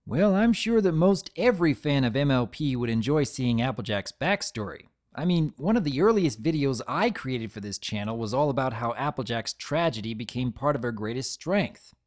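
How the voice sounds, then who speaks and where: A man's voice: medium pitch, expressive, moderate pace, few pauses. A man hosting a fan channel, giving scripted analysis commentary in an earnest, formally worded way.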